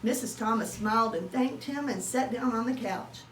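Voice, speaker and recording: A woman's voice: a little high, normal speed. A woman reading a children's story aloud as a teacher would, expressively and with acted-out dialogue. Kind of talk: animated children's storybook narration. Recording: roomy, distant mic, faint background noise.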